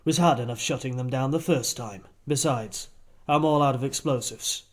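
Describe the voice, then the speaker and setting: A man's voice: mid-range voice, normal speed, close to an everyday voice. A man playing an arrogant, sardonic, brainy dealer in a comic audio drama, speaking in a theatrical, pompous way.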